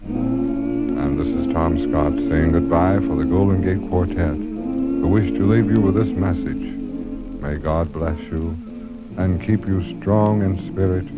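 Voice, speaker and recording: A man speaking for a gospel group in a measured, reverent radio sign-off and recitation. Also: low-pitched, big pitch swings. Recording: muffled old recording, loud music behind.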